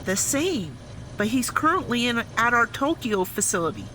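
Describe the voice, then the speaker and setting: A woman's voice: on the high side, very animated, normal speed, background noise. An actress playing a tense, skeptical woman scientist in an audio drama. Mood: hushed dread. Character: tense, sharp female scientist, urgent and skeptical. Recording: loud background ambience under voice.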